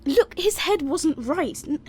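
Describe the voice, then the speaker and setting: An actress playing a brisk university vice-chancellor in an audio drama. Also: female voice, high-pitched, lively intonation. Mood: pleading, agitated. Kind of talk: scripted audio drama dialogue.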